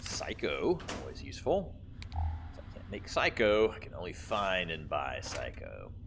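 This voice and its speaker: A man's voice: low voice, huge pitch swings, a little slow, vocal fry, background noise. A man giving casual, rambling commentary as he plays a video game, thinking aloud. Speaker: gaming video host.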